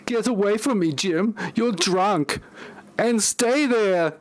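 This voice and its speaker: A man's voice: high voice, slow pace, voice pushed up. A man voicing an upset, accusing woman in an amateur parody audio play. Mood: angry, disgusted. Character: upset, shrill women and girls, accusing, high-pitched.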